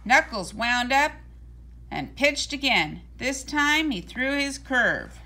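Bright, high-voiced woman reading a storybook. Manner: deliberate, expressive storytelling. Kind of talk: scripted read-aloud of a children's storybook.

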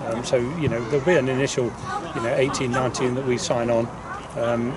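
A man's voice: medium pitch, level tone, a little fast, a loud crowd. A man, a football manager giving an informal interview, often repeating his words. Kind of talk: informal post-match interview. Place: outdoors on a football pitch. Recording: distant outdoor mic, clear background noise.

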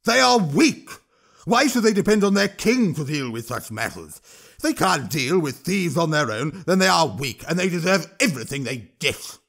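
A man voicing a boastful, bigoted male troll minister who jeers and shouts over a crowd, his pitch pushed up and his timbre changed. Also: huge pitch swings, unhurried, full character voice. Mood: ranting.

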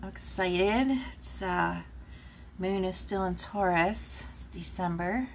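A woman's voice: fairly low pitch, slow, a little hiss or hum. A woman giving a casual, rambling tarot reading, chatting with lots of fillers while recorded off camera at a table indoors. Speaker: tarot reader.

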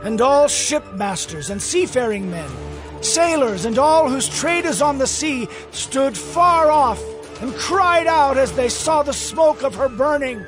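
A man's voice: extremely high pitch, animated, unhurried, music in the background, low-quality recording. A man narrating a dramatized audio Bible in a solemn, dramatic manner.

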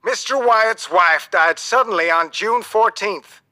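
Man acting a high, forceful ship captain. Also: a little slow. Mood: grave, explaining. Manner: emphatic, short urgent orders. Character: commanding male ship captain, urgent and grave. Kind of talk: audiobook character dialogue.

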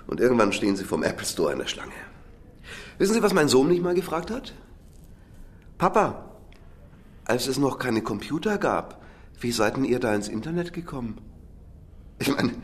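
A man's voice: medium pitch, sing-song, moderate pace, long pauses, lightly acted. An actor playing a cynical, defensive middle-aged businessman under interrogation in a radio crime drama, self-justifying and swinging between muttering and heated outbursts. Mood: cynical, scornful. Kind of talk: naturalistic German radio drama acting.

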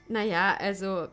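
A woman plays a friendly young woman in an improvised audio drama. Her medium-pitched voice is polite and hesitant, with halting phrases over soft music. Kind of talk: improvised radio play acting. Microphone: close studio microphone on boom arm.

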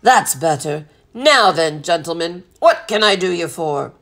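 A man's voice: fairly high pitch, expressive, unhurried, gravelly, pushed and bright, full character voice. A woman voicing a blustering, hot-tempered male ship's master in a storybook, growling and roaring in explosive exclamations. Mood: mellowed.

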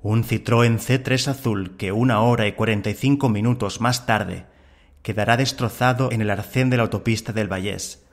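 A man's voice: on the low side, fairly even intonation, normal speed. A man hosting a fiction podcast and narrating in a scripted style. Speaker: podcast host and story narrator.

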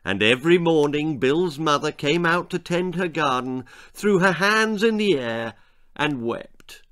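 A man in his sixties tells a children's tale. His delivery is slow and emphatic, with a lively, swooping pitch. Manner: theatrical, emphatic. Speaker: author reading own story aloud. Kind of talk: dramatic children's storytelling. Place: small book-lined room at home.

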